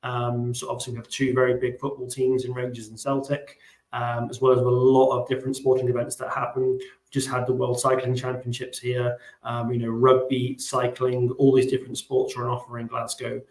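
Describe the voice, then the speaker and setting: A man's voice: mid-range voice, completely flat delivery, brisk, a little mellow, echoey room, rough recording. A man answering as a panelist in a live Q&A, friendly and hesitant, with many ums. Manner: friendly, lots of fillers.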